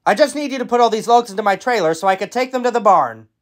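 A man's voice: high-pitched, animated, fast talker. A man voicing a friendly, easygoing working tractor from a children's cartoon.

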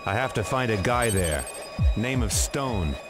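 A man's voice: lowish voice, lively intonation, a little slow. A man playing a guarded fugitive agent in a video game, speaking in terse, wary phrases. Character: guarded male fugitive agent searching for his memories. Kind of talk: video game cutscene acting. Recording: music behind the dialogue.

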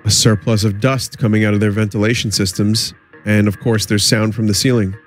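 A man with a fairly low voice streams a game. He reads entries aloud and comments as he goes, with music softly behind him. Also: little pitch movement, a little fast. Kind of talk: live game commentary, reading game text aloud.

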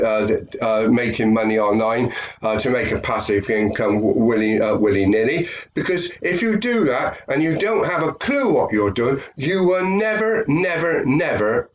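A man's voice: mid-range voice, dull audio. An older British man from the UK, nasal from a head cold, giving a rambling webcam talk full of fillers and repeats. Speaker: affiliate marketer. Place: small room indoors.

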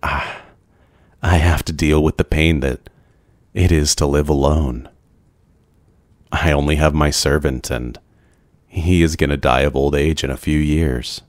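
Man playing a very deep, brooding vampire. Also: fairly even intonation, a little slow, pauses often, no echo. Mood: regretful, brooding. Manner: formal, hesitant, softly courteous. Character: brooding, courteous, lonely old immortal male vampire. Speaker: ASMR roleplay voice actor. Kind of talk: slow, intimate ASMR roleplay acting.

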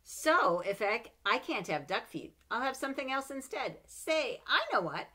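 Expressive woman reading a picture book. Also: a little high, normal speed. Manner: expressive, animated read-aloud with playful asides. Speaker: storybook reader. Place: home room with bookshelves. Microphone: webcam at desk.

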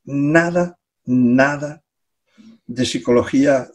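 A man's voice: medium pitch, takes it slowly. An older man from Catalonia speaking Spanish with a Catalan accent on a video call from his home study, talking casually and hesitantly, often repeating words and laughing between phrases. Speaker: guest, psychology professor. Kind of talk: casual conversational interview. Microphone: video call webcam.

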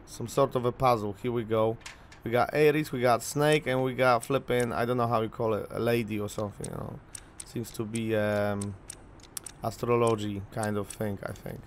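A man's voice: mid-range voice, fairly even intonation, normal speed, a little hiss or hum. A young man from Poland whose first language is Polish, rambling casually with fillers as he commentates a video game on a headset mic. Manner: chatty, rambling, lots of fillers. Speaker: gaming channel host.

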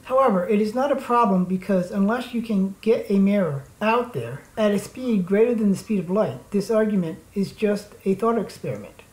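A woman narrating a scripted slide lecture in a formal, measured reading manner. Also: medium pitch, expressive, darkish tone, poor audio.

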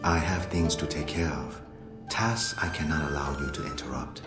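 Cold condescending secret police type in a game, super low voice, clipped, echoey room. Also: male voice, moderate pace. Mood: cold, controlled. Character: stern, coldly composed male secret police agent. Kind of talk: video game cutscene acting. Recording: echoey, loud music underneath.

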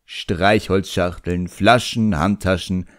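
A man's voice: sits low, fairly even intonation, takes it slowly, no room sound, studio quality. A man narrating an audiobook story in the first person with a wry, scripted delivery.

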